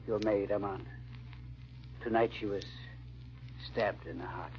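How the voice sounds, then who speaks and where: Grave baron played by an actor, muffled old radio. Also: male voice, lowish voice, close to an everyday voice. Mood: grave, determined. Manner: measured, formal, earnest. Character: grave, determined baron seeking vengeance for murdered wife. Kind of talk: old-time radio drama acting. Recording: dull, band-limited old radio recording.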